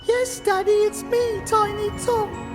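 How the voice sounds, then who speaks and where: A woman's voice: extremely high pitch, steady tone, slow. A woman playing a sweet, pitiful little boy in a melodramatic radio play, with a childlike manner. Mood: plaintive, eager. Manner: childlike, plaintive. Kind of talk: comic melodrama radio acting. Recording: clear music behind the voice.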